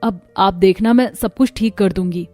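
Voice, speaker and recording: Lady doing a sorry, grateful young cartoon bahu, earnest, mid pitch, music under it. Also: moderate pace, a little mellow, close to an everyday voice. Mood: repentant. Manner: earnest, apologetic. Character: repentant, grateful young daughter-in-law in a cartoon.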